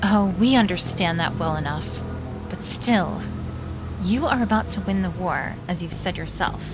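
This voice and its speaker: A woman's voice: lowish voice, expressive, unhurried. A woman playing a hard, suspicious human resistance leader in an audio drama, scornful and challenging. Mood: scornful, wary. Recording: dramatic music behind.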